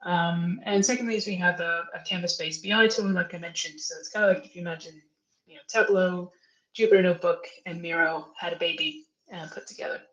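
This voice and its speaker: A woman introduces a webinar in a roomy, echoing space. Her voice sits a little low for a woman, and she speaks in a casual, chatty, hesitant manner as host. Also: fairly even intonation, brisk, lo-fi sound. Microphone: video call.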